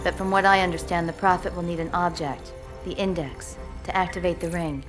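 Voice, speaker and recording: A woman's voice: on the low side, fairly even intonation, over music, lightly acted. A woman voicing a determined starship commander. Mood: firm. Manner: firm, brisk, commanding.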